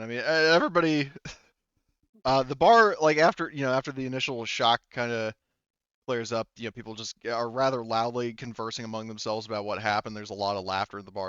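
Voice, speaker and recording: A man narrates casually as a game master. His voice is smooth and medium in pitch, recorded dry with a slightly muffled top end. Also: fairly bright tone, no room sound.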